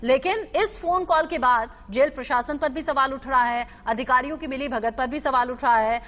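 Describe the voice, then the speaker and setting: High-pitched woman news anchor. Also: normal speed, a bit bright. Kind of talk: Hindi television news presentation.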